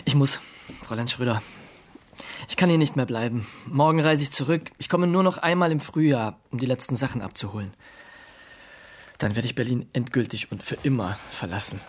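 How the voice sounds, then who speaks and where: An actor playing a reserved, polite gentleman writer in a radio drama, measured and restrained. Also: mid-range voice, close to an everyday voice. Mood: quietly regretful. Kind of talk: radio drama, literary narration and dialogue.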